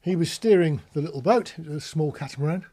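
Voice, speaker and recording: A man's voice: fairly high pitch, fast, warm tone. A British man giving an informal, practical tutorial, explaining things plainly with anecdotes as an instructor. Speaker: marine radio instructor.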